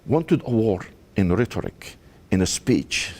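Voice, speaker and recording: A man from Iraq speaks in a very deep, husky voice. He talks in short, halting phrases as a journalist interviewed for a local news story. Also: very animated, a little mellow.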